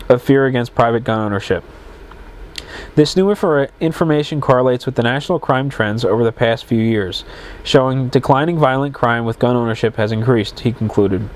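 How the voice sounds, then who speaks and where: A man's voice: medium pitch, darkish tone. A man with plugged, congested sinuses hosting a casual solo podcast, reading news items aloud and chatting between them, often stumbling and correcting himself. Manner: rambling, self-correcting, stumbles over words. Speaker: podcast host.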